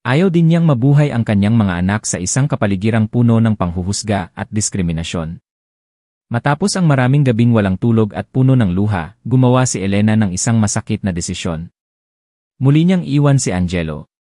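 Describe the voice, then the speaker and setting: A man narrating a dramatic audio drama with expressive, emotional delivery. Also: lowish voice, fairly quick, no echo, studio quality.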